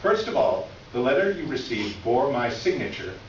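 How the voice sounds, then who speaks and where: A man's voice: medium pitch, sing-song, normal speed, close to an everyday voice. A man acts out a wronged older male clerk close to retirement in a readers theater piece. He confesses by letter in formal, wordy, earnest sentences. The recording is very reverberant and a bit muffled, with light noise. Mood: earnest, aggrieved. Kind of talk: staged readers theater, reading a letter aloud. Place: live reading in a reverberant room. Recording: echoey room from far off, dull, noisy.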